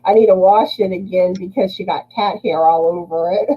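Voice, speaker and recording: A woman's voice: lowish voice, normal speed, breathy edge, dull audio. A woman with a Southern US accent hosting a casual livestream chat, laughing often as she greets viewers by name. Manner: laughs often while reading chat names. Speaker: livestream host.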